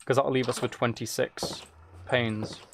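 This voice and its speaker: Male game streamer, gravelly medium-pitched voice, low-key casual chat, close mic with keyboard clicks. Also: steady tone, measured pace, noisy background. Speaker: streamer playing a game while chatting with viewers.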